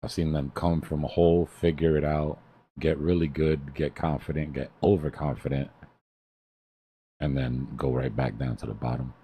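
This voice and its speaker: A man's voice: extremely low pitch, steady tone, normal speed, warm tone, slight background noise. A man hosting a live trading stream, talking casually like a mentor in a blunt, emphatic way and repeating himself for stress.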